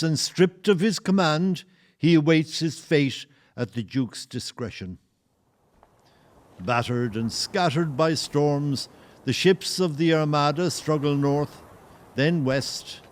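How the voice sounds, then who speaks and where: An actor as the solemn narrator of an audio play, delivering scripted narration in a portentous, theatrical way. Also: male voice, fairly high pitch, lively intonation, slow. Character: solemn storyteller introducing and presiding over the play.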